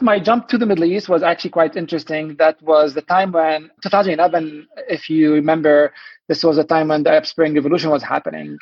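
A man's voice: a little high, breathy edge, dry recording, dull audio. A man from Lebanon, a venture investor, telling his background in a podcast interview in a fluent, storytelling way. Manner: fluent, storytelling, some restarts.

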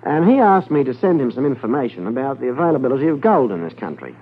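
An actor playing a shrewd, smooth-talking gold smuggler in a 1950s radio drama, guarded and deliberate. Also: male voice, medium pitch, very animated. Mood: polite, probing. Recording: narrow-band vintage 1950s radio recording.

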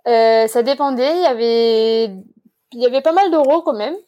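Woman, 20s, higher-pitched voice. Chatty interviewee explaining things in a relaxed, conversational way. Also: normal speed, lo-fi sound. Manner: chatty, explanatory, laughs easily. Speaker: interview guest. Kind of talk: casual personal interview.

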